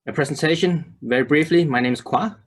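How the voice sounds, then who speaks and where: A man in his thirties from Denmark giving a presentation, halting often with fillers and restarts. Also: a little high, husky. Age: 30s. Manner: hesitant, many fillers and restarts. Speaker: conference speaker. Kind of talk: presentation to a web audience.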